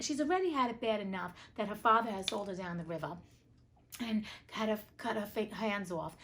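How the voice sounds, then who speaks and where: Woman, storybook host, medium pitch, sassy and outspoken, colloquial, casual asides between narration. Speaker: host reading classic tales aloud.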